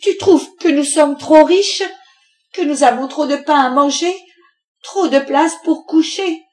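A woman voicing a bargeman's angry, sharp-tongued wife in a storybook reading, scolding and commanding with indignation. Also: high voice, a little slow, voice pushed up. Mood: furious.

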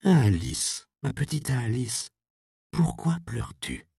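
Male narrator doing a mother figure, soothing, firm, softly spoken. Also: mid-range voice, huge pitch swings, moderate pace, vocal fry, no echo, studio quality, voice pushed up, full character voice. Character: middle-aged mother, tender but firm cloth merchant.

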